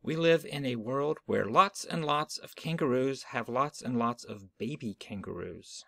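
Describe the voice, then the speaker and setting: Male storybook narrator, even and gentle, dry home recording. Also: medium pitch, measured pace, vocal fry, no room sound. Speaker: reader of a children's book. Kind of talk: reading a picture book aloud.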